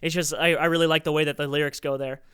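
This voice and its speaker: A man with a nasal voice co-hosting a casual podcast about music, animated and quick to laugh. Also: fairly high pitch, clean tone, dry recording, clean studio sound. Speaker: podcast co-host.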